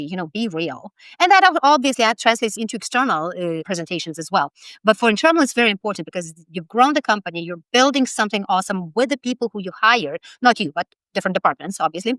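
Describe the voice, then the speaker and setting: A woman's voice: mid-range voice, fairly bright tone, no room sound. A woman in her forties from Lithuania with a Lithuanian accent, a branding expert giving direct, emphatic advice in an interview and laughing between points. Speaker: personal branding expert guest.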